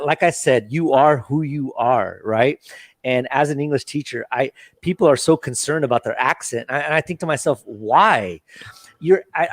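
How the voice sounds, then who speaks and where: Earnest American teacher on a call. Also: male voice, mid-range voice, no echo. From United States. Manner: earnest, reassuring, repeats himself. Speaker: English teacher, panel guest. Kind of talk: casual panel chat.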